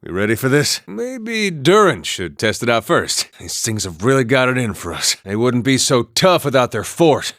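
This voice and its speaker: An actor playing a laid-back, wry farmer and war veteran in a video game, with a folksy drawl and dry, understated humor. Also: male voice, mid-range voice, sing-song, moderate pace, hardly any pauses, a bit bright, no echo. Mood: easygoing, dry. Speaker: video game companion character. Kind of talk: video game voice acting, story, banter and fight barks.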